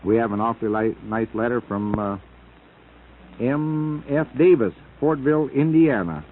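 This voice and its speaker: A man's voice: mid-range voice, moderate pace, phone-quality sound, slight background noise. An American man hosting a casual early-morning radio show, chatting in a folksy, joking, rambling way on a vintage 1950s AM broadcast. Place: radio studio.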